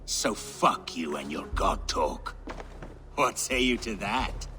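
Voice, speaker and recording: A crude, defiant warrior taunts his foe in a slow, drawn-out delivery. His tone is bright and cutting and swings widely up and down as he mocks. Low background music sits under the game cutscene. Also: lively intonation, heavily acted. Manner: mocking, defiant, swearing. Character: insolent male warrior rival, crude and taunting. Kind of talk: video game cutscene acting.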